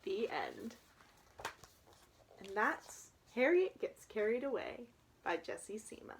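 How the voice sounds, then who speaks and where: A woman's voice: a little high, huge pitch swings, slow pace, a little hiss or hum. A young woman reading a children's picture book aloud, with chatty asides to her listeners. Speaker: storybook reader.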